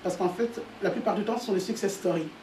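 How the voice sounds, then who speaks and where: A woman's voice: mid-range voice, quick pace, warm tone. A woman from Italy, a guest talking about her association, speaking hesitantly with many fillers and repeated words. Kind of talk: interview answers. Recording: roomy sound from a distant mic with faint noise.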